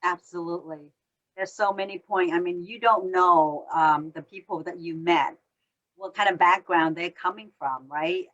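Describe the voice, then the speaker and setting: A woman's voice: lowish voice, fairly even intonation, moderate pace, a bit bright, dull audio. A woman hosting an interview, speaking English as a with grammar and asking friendly questions. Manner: non-native grammar, friendly prompting questions. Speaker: podcast host. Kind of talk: casual interview chat.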